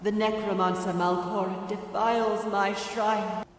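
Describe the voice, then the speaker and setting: Game voice: actress as a commanding female deity, slow and solemn, drenched in echo. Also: medium pitch, little pitch movement, close to an everyday voice. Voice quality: grand, solemn delivery. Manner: commanding, speaks in decrees. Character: imperious female divine spirit, ageless and commanding. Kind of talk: video game character dialogue. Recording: echoey game audio heard from far off.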